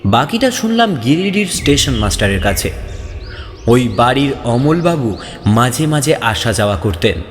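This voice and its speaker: A man's voice: medium pitch, sing-song, unhurried. A man reading a scripted ghost story as a narrator, in a dramatic, suspenseful and theatrical manner. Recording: long reverb, background music. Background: music.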